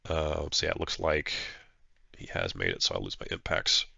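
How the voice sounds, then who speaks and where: A man's voice: very deep voice, very animated, moderate pace, creaky, pushed and bright, breathy edge, dry recording, muffled recording. A man getting over a flu, his nose stuffed up, casually narrating his own tabletop battle as a player with plenty of fillers. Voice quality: nasal, stuffed-up. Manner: thinking aloud, hesitant with fillers. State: congested from a flu. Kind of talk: casual play-by-play commentary.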